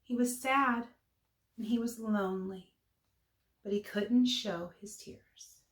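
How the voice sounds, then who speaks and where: A woman reading a children's storybook aloud with expressive, emphatic phrasing for young listeners. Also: fairly high pitch, takes it slowly, reverberant.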